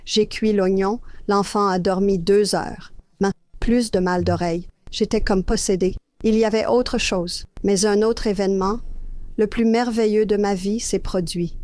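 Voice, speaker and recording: A woman's voice: mid-range voice, steady tone, measured pace, darkish tone, dry recording. Older woman telling her story, dry sound. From Australia. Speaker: guest health educator. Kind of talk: personal storytelling in an interview.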